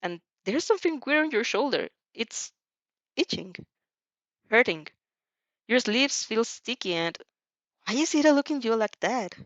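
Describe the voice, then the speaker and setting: A woman giving an expressive, emotive reading of a story as an amateur narrator, now and then stumbling over lines. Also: a little high, unhurried, long pauses, no room sound, highs cut off. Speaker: amateur fan fiction narrator.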